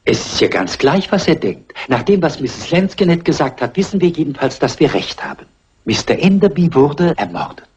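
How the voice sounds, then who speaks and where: An actor playing a timid, loyal gentleman companion in a dubbed crime film, hesitant and polite. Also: on the high side, sing-song, normal speed, lo-fi sound. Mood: worried. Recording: noisy old film soundtrack.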